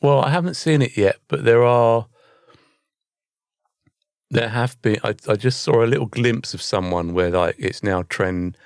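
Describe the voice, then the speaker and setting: A man's voice: mid-range voice, measured pace, clear, resonant tone, no echo, studio quality. A British man co-hosting a podcast in casual banter, bemused and rambling as he searches for words. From United Kingdom. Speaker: podcast co-host.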